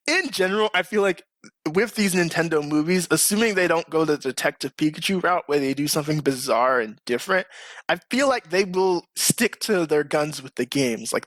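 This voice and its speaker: A man's voice: fairly high pitch, fairly bright tone, no echo. A man hosting a casual podcast chat, talking informally and with slang. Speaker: podcast host.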